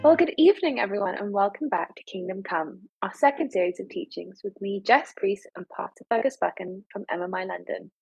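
A dry, slightly lo-fi recording of a woman opening a religious teaching programme from a script. Her voice is husky and sits at a middle pitch. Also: expressive, a little fast, hardly any pauses, no echo, faint music behind. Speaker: host of a religious teaching series. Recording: dry, slightly dull sound.

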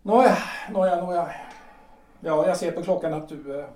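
An actor playing a reserved, polite lawyer-politician in his forties in a period audio drama, halting and repeating words as he goes. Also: sits high, darkish tone, a little hiss or hum, lightly acted. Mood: polite, awkward. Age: middle-aged. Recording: roomy echo, distant from the mic.

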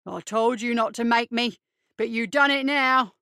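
A sadistic young street bully, performed by a woman narrator, swaggering and taunting in rough street talk, pitched down and loud. The voice is clean and close, with a dry sound. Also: flat delivery, normal speed, no echo, close to an everyday voice. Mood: menacing, gloating. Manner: taunting, grandiose, rough uneducated street speech.

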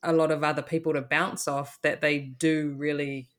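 A woman's voice: sits low, little pitch movement, moderate pace. A woman chatting casually as a podcast host, friendly and encouraging. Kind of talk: casual interview chat.

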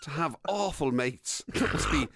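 A man's voice: on the high side, animated, unhurried, noisy background. A man with an Irish accent co-hosting a comic radio show, chipping in with wry questions.

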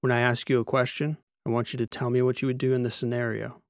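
A man's voice: medium pitch, little pitch movement, fast, dry recording, clean studio sound, lightly acted. A man voicing a commanding, demanding military examiner in an audiobook, with barked, clipped orders. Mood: brisk, commanding. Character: commanding one-eyed male military examiner, demanding, intense.

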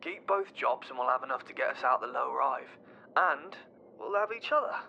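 A man's voice: medium pitch, expressive, fairly quick, gravelly, pushed and bright, heavily acted. A man playing a cheery, affectionate boyfriend in an audio drama, heard over a communications link. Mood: encouraging, fond. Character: cheery, affectionate boyfriend of a slum factory worker. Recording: slightly dull phone link, faint noise.

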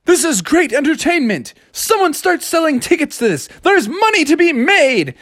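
A man voicing excitable, money-hungry stock traders in a children's story, with urgent, barking exclamations. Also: very high voice, normal speed, no room sound, studio quality, pitched above the performer's own voice. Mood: eager hawking.

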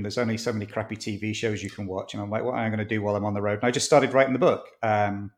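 A man in his sixties from near Manchester with a northern English accent, telling stories as an interview guest in a rambling, chatty way with many ums. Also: lowish voice. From northwest England, near Manchester. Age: 60s. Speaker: author and podcast guest. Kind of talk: casual interview chat.

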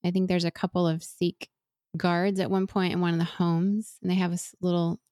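A woman's voice: on the low side, level tone, a little fast, warm tone, no echo, studio quality. A woman in her forties who grew up in Venezuela, talking earnestly and thoughtfully as an interview guest, often restarting her sentences. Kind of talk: reflective interview conversation.

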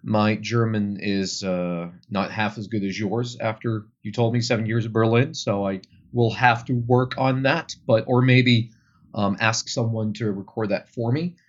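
A man's voice: fairly low pitch, fairly even intonation, measured pace, lo-fi sound. A young Flemish man, a Dutch speaker, answering interview questions about tour guiding thoughtfully, with many fillers. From Belgium. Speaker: tour guide guest.

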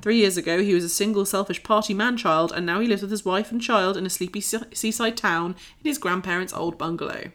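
A woman's voice: mid-range voice, a little fast, few pauses, a bit bright. A woman co-hosting a podcast, chatting informally and reading out listings in a casual way. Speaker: podcast co-host.

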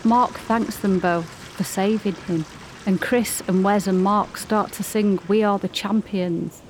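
A woman's voice: medium pitch, steady tone, slow pace. Woman narrating a radio play, noisy background.

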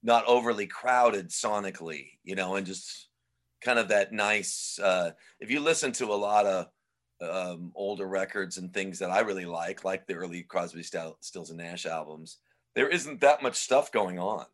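Low-voiced man, loose and rambling. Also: normal speed. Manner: relaxed, rambling, many "you know" fillers. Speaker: guest musician. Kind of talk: casual interview chat.

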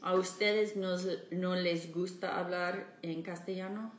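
A woman hosts a casual interview in Spanish. She speaks very slowly and searches for words. The room is echoey, with faint noise behind her. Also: fairly low pitch, fairly even intonation. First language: English. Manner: slow, searching for words as a learner. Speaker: podcast host.

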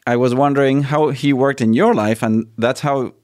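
A man's voice: mid-range voice, steady tone, moderate pace, a little mellow. A man from Argentina, a priest hosting a podcast interview, telling stories in a chatty way with many fillers and restarts. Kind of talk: casual interview.

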